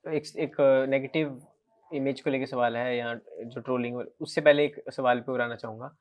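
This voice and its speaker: A man's voice: medium pitch, steady tone, normal speed. A man interviewer leads a casual conversation. His questions are long and winding. He keeps his guest going with frequent, encouraging agreement.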